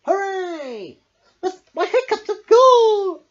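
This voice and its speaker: A woman's voice: extremely high pitch, very animated, slow, lo-fi sound, pitched above the performer's own voice, full character voice. A woman voicing a young cartoonish storybook shark who hiccups and gasps through the words. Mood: overjoyed. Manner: gasping hiccups breaking up the words.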